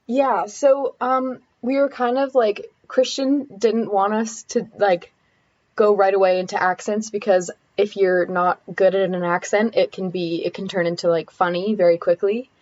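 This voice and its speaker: A teenage girl answering as a student guest, eager and giggly, with lots of "like" and "um." Her voice has an ordinary pitch. The recording sounds a little dull and lo-fi. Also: fairly even intonation, normal speed, darkish tone. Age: teens. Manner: eager, filler-heavy, giggly. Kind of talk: interview answers.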